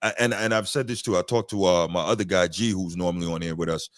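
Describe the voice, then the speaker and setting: A man co-hosting a casual sports podcast, making long, measured points. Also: low-pitched, steady tone, no room sound, studio quality. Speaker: podcast co-host.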